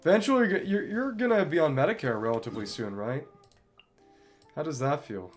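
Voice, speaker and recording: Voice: medium pitch, expressive, a little fast, faint music behind. A game streamer chatting casually over gameplay, thinking aloud in a wry way. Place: indoors at a computer.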